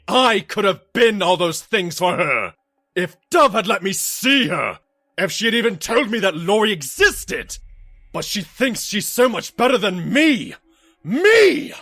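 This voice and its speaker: Villainous ham actor stallion, grandiose, obsessive, huskily rasping, echoey, cartoon audio drama. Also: male voice, high-pitched, bright, forceful tone, heavily acted. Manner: theatrical, grandiose. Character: vain, obsessive washed-up stage actor stallion, villainous kidnapping father.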